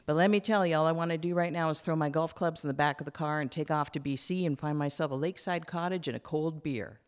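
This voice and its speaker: Woman to play plain-spoken older grandfather for a novel reading, gruff yet tender, confiding. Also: sits low, fast, lightly acted. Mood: fed up. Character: gruff, tender grandfather in his late sixties. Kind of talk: acted literary reading.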